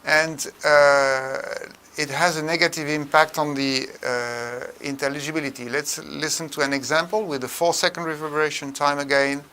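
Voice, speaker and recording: An older man giving a tutorial lecture in a measured, halting way with frequent pauses. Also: on the high side, steady tone, sharp tone, slight background noise. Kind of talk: tutorial lecture on room acoustics.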